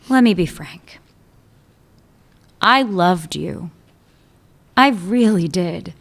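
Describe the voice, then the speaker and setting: A woman's voice: lowish voice, lively intonation, extremely slow, pauses often, full character voice. A woman playing a sly woman police chief who teases an old lover, in a radio play. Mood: persuasive. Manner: teasing, needling, wry. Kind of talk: amateur radio drama acting.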